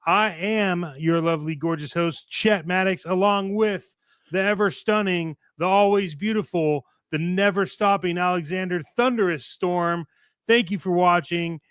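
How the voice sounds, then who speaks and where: A man's voice: high-pitched, measured pace, fairly bright tone, smooth, no room sound, clean studio sound. A man hosting a podcast in a casual, chatty style, with a playful, mock-grand manner. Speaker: podcast host. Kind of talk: casual chat hosting a show.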